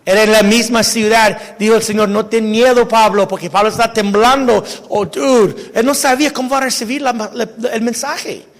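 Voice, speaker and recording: A man preaching a casual, halting sermon, often restarting words and dropping slang into his talk. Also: sits high, fairly even intonation, in a large hall. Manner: casual preaching mixing Spanish with English slang. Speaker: pastor. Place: church sanctuary.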